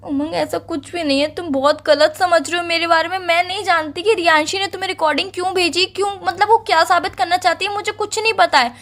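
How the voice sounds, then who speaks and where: A woman acts out an accused young girlfriend in a phone-call breakup drama. Her voice is extremely high and she talks fairly fast. She is defensive and earnest, pleading insistently to be believed. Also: fairly even intonation, a bit bright.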